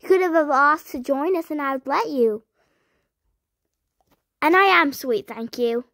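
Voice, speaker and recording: A young girl hosting her own channel, chatting as she reads viewer comments aloud and answers them with sudden high squeals. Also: animated, unhurried, a bit bright, no echo, studio quality. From United Kingdom. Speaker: channel host. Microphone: phone.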